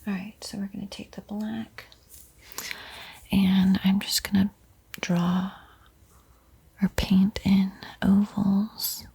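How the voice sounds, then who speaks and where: A woman whispers painting instructions in a soothing, encouraging ASMR style, with a breathy, airy voice. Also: mid-range voice, level tone, slow, long pauses, warm tone. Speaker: art tutorial host.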